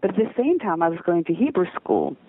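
A woman's voice: fairly low pitch, animated, fast, slightly rough, dry recording. A middle-aged American woman, a historian, answering interview questions with an American accent and occasional hesitations. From United States. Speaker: historian, guest author. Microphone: phone line. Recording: tinny dry phone line.

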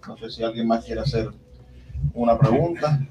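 A man's voice: mid-range voice, moderate pace, background noise. Man, heard from a distance. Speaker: moderator taking audience questions. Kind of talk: hesitant, informal live questioning. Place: theatre book presentation. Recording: distant microphone, music behind.

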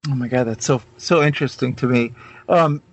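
A man's voice: mid-range voice, a little fast, rough recording. A man hosting a casual interview, informal and enthusiastic as he chats with his guest. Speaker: podcast host and interviewer. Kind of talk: casual chat.

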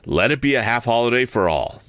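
A man's voice: medium pitch, lively intonation, fairly quick, a bit bright, no echo, pitched above the performer's own voice, close to an everyday voice. A man doing a plain, mild village smith. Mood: steady.

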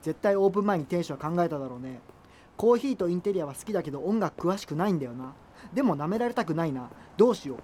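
An actor playing an opinionated, nitpicking high-school boy who rambles fussily, in a naturalistic radio drama with noisy ambience. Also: expressive, rapid-fire, dark tone, natural voice. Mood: griping, opinionated. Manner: rambling, fussy, complaining banter. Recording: clearly noisy ambient background.

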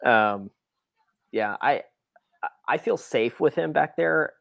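A man chatting casually about sports as a podcast panelist, giving measured opinions that he often qualifies. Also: mid-range voice, expressive, creaky, fairly bright tone, no echo, studio quality.

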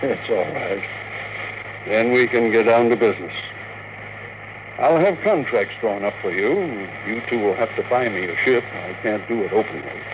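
A man's voice: on the low side, lively intonation, moderate pace, lightly acted. Casting: actor as older male business magnate, insistent and obsessive, vintage radio drama, tinny and noisy recording. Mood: businesslike. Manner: insistent, commanding. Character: driven, stubborn ageing tycoon obsessed with the moon. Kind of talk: classic radio drama acting. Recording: thin, noisy old radio broadcast.

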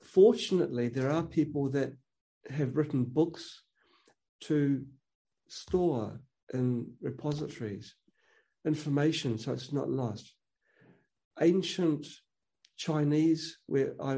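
Mellow-voiced man, earnest and opinionated. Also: mid-range voice. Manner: earnest, opinionated, frequent restarts. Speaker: guest. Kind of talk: casual conversational interview.

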